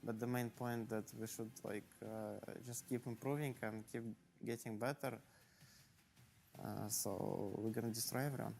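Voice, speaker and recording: Hesitant esports player, music behind. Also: male voice, fairly low pitch, fairly even intonation, moderate pace, gravelly, darkish tone. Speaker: pro player interviewed. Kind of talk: post-match player interview.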